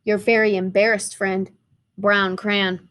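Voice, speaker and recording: A woman's voice: mid-range voice, little pitch movement, takes it slowly, fairly bright tone. A woman voicing an embarrassed, sulky crayon that speaks in a wry, confiding way. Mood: sheepish.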